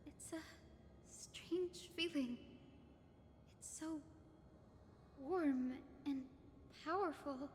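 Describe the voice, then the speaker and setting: An actress playing a gentle, awed young woman in a fantasy game, speaking with soft wonder. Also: high voice, in a large hall, a little hiss or hum. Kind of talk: video game voice acting.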